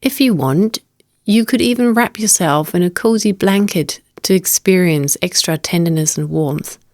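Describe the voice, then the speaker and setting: A woman with a slightly low voice narrates a guided meditation. She is gentle and unhurried, phrasing instructions as invitations. The recording is dry, with very little room sound. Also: no room sound. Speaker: meditation teacher.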